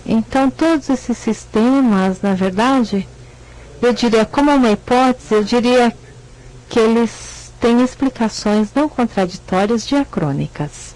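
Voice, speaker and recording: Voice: medium pitch, lively intonation, unhurried, darkish tone, rough recording. Interpreter relaying a lecture, hesitant. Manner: hesitant, self-correcting. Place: lecture hall.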